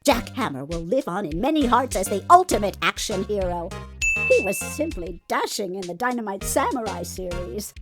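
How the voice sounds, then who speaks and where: Woman voicing a brash, nagging older female security guard, swooping pitch. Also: big pitch swings, normal speed, natural voice. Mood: wistful, gossipy. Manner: scolding, gossipy, old-fashioned folksy talk. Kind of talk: voiced dialogue for a video game fan dub. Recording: music behind the voice. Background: music.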